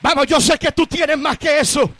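A Puerto Rican man delivers a sermon in a very high-pitched voice. He speaks emphatically, builds on repeated phrases and addresses his listeners directly. The sound is dry and close, with little room around the voice. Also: steady tone, moderate pace, fairly bright tone, no room sound. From Puerto Rico. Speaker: guest preacher. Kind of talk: sermon to a large congregation.

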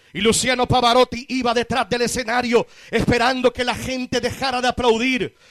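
A man's voice: very high-pitched, fairly bright tone, no echo. A man with a Puerto Rican accent preaching a sermon to a congregation, emphatic and repetitive, addressing his listeners directly. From Puerto Rico. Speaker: guest preacher.

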